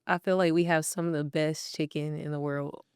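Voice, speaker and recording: Young woman interviewer, casual and relaxed, crisp lowish voice. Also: normal speed, dry recording, studio quality. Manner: relaxed, uses "like" fillers. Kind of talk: casual chat.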